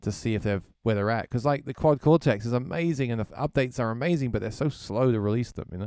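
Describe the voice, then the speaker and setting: A man's voice: mid-range voice, quick pace, darkish tone, dry recording, clean studio sound. A man chatting casually on a livestream while demoing music gear, thinking aloud and often repeating words. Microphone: vocal mic through effects unit.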